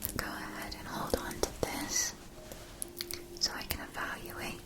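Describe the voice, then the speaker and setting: A woman in a whispered ASMR roleplay, playing a gentle, solemn seller of magic wands with formal, deliberate phrasing. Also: natural voice. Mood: solemn, reverent. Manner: slow, deliberate, soothing delivery with formal phrasing. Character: gentle, solemn female magic wand seller at witch shop.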